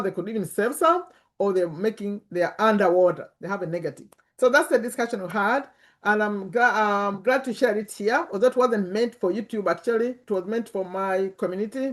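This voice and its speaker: A woman in her fifties with a Ugandan accent, giving an informal motivational talk and repeating words for emphasis. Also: mid-range voice. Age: 50s. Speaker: personal finance YouTuber and community host. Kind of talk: informal motivational talk to camera and online group.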